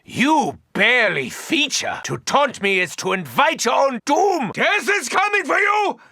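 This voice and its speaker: Arrogant mad video game doctor, very high voice, wildly swooping, gravelly, theatrical alarm. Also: male voice, big pitch swings, measured pace, sharp tone, no echo, clean studio sound, exaggerated voice. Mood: menacing, outraged. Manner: theatrical, imperious, alarmed, formal wording. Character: frantic, arrogant mad doctor fighting undead hordes. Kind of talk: video game character barks.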